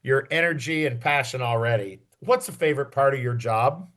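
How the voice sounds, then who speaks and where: A man interviewing a guest on a podcast in a conversational, encouraging and deliberate way. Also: mid-range voice, fairly bright tone.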